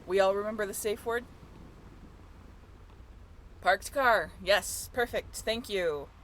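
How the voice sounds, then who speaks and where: A woman's voice: medium pitch, slow pace, a bit bright, slight background noise. A woman playing an upbeat young tour guide on a sailing ship in a scripted audio roleplay, with a chatty, playful way of talking. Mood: brisk, instructive. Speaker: role-play voyage guide.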